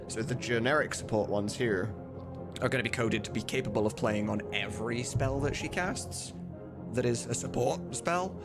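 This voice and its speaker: A man chatting casually as a livestreamer while he works, sometimes muttering distractedly and swearing. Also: lowish voice. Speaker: streamer and game modder. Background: music, computer keyboard typing.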